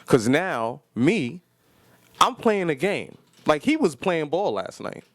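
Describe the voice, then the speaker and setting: A man with an American accent and a fairly high voice that moves up and down in a lively way. He chats casually like a radio host, blunt and profane, full of slangy opinions. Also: slow pace, no echo.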